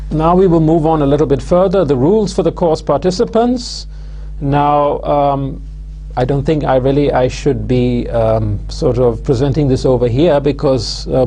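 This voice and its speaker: A man, giving a recorded university lecture in a measured, hesitant way full of "uh" and "um" fillers. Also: mid-range voice, warm tone, slight background noise. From London, England. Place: studio with plain backdrop.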